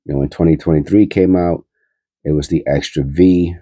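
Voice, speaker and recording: A man's voice: deep voice, steady tone, normal speed, mellow, no echo, highs cut off. A man with a stuffy, nasal cold, hosting his coin-hunting channel and rambling aloud with many fillers as he sorts pennies. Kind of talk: casual coin roll hunting commentary.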